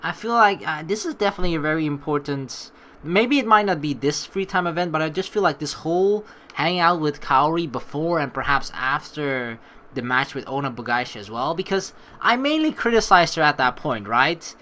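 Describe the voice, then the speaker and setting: A young man hosting a let's play, reading the story aloud and adding expressive, rambling commentary. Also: fairly high pitch, moderate pace.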